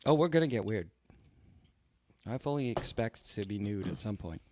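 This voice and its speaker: A man's voice: fairly low pitch, animated, normal speed, a little mellow, slight background noise. A man appearing as a guest on a podcast live stream, chatting casually with crude, self-mocking jokes.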